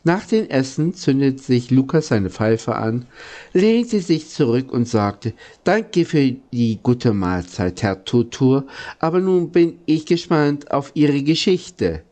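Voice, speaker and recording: A man's voice: mid-range voice, expressive, slow pace, dark tone. A man with a sniffly head cold, reading a children's story aloud and now and then stumbling over words. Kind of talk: slow, informal audiobook-style reading.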